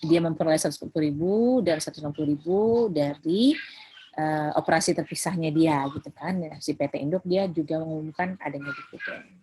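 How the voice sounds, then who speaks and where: A woman's voice: sits low, huge pitch swings, fairly quick, dark tone, a little hiss or hum, low-quality recording. A woman with an Indonesian accent giving an informal recorded lecture, explaining step by step with English terms mixed in and frequent checking tags. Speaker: accounting lecturer.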